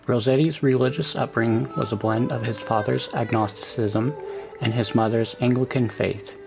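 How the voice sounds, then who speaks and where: A man narrating a scripted documentary in a measured, even delivery, with music behind the voice. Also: mid-range voice, creaky.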